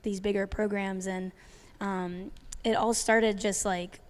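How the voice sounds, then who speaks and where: A woman's voice: medium pitch, slow, clear, resonant tone. A young adult woman coach talking casually about her career, with frequent ums and restarts. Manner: relaxed, many ums and restarts. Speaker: head cross country coach. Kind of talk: casual panel talk.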